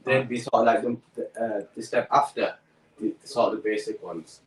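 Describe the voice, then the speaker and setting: A man's voice: fairly low pitch, moderate pace. A man who runs a perfume business, answering questions hesitantly with many fillers, heard over a remote call. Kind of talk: guest answering interview questions. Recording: echoey and distant.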